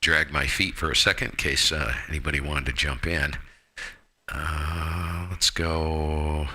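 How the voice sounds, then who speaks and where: Very deep, sharp-edged man on ham radio. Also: steady tone, measured pace, slightly rough. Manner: colloquial, laid-back, folksy exclamations. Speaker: amateur radio operator on air. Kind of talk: casual radio chat.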